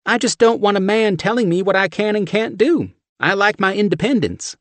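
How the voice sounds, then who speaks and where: Man putting on a loud, brassy, flirty woman. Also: high voice, expressive, normal speed, dry recording, voice pushed up. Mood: brash flirty. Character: brash flirty Southern woman, loud and bold.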